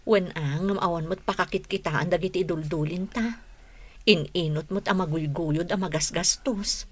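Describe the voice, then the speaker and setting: An actress playing a nosy, sharp-tongued, sceptical wife in an Ilocano radio drama, expressive and pointed. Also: female voice, on the low side, normal speed, husky.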